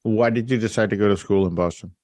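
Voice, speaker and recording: A man's voice: fairly low pitch, fairly even intonation, fast, a little mellow, no room sound. A man hosting a podcast interview, casual and teasing in manner. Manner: joking, teasing, laid-back. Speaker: podcast host.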